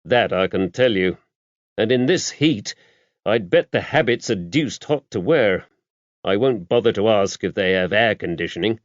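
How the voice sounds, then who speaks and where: A fastidious male investigator voiced by an audiobook narrator, wry and incredulous. He talks with exclamatory emphasis, as if baffled by his surroundings. The recording is dry and a little dull. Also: fairly low pitch, expressive, dry recording, natural voice. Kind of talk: audiobook character dialogue.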